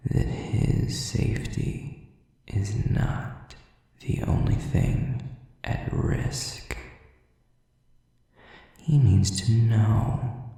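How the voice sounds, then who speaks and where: A man voicing a sadistic, cold, mockingly possessive demon in an audio roleplay, with slow, deliberate, breathy, taunting whispers. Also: on the low side, warm tone, heavy reverb, distant mic, full character voice. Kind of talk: intimate audio roleplay acting.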